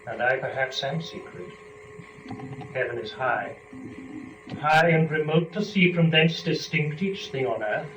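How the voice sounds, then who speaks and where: Male actor as young fallen woman, rapturous and scheming; epic verse drama, very slow delivery, distant noisy recording. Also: fairly high pitch, lightly acted. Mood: sly, calculating. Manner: measured, theatrical, deliberate phrasing. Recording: noisy, dull, echoey room from a distance.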